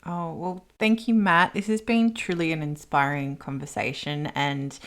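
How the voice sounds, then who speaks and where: Female interviewer, notably low register, conversational and affirming. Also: steady tone, unhurried. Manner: affirming, appreciative. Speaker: podcast host.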